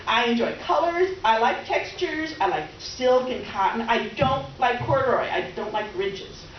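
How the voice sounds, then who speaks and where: High-pitched, theatrical oversharing houseguest played by a woman. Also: normal speed, close to an everyday voice. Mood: breezy. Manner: rambling, self-absorbed, theatrical. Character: dramatic, oversharing, scatterbrained middle-aged woman houseguest. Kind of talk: comic stage reading. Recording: distant echoey room, muffled, faint noise.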